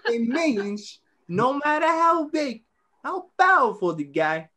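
A woman's voice: high voice, very animated, slow pace, bright, forceful tone. A young woman reading a screenplay story aloud on a video call.

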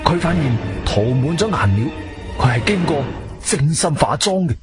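Animated Cantonese adventurer hero, music behind. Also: male voice, on the high side, moderate pace. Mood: gripping, suspenseful. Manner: expressive, emphatic storytelling. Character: male adventurer hero, shrewd, firm, questioning investigator. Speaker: narrator and lead actor. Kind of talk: dramatic first-person audio drama narration. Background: background music.

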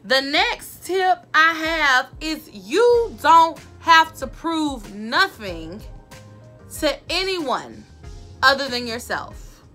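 A sassy woman vlogger, talking straight to the camera from her bedroom. Her voice is loud, bright and a little high, and she hammers her points home by repeating them. Faint music plays underneath. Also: sing-song, very slow. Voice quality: loud voice that carries. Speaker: vlogger giving advice. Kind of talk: casual advice talk to camera. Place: bedroom, indoors.

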